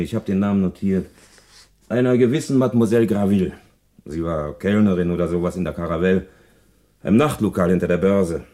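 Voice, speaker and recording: A man's voice: deep voice, dark tone, natural voice. A man acting a police subordinate in a German radio play, giving a colloquial, matter-of-fact report to his chief.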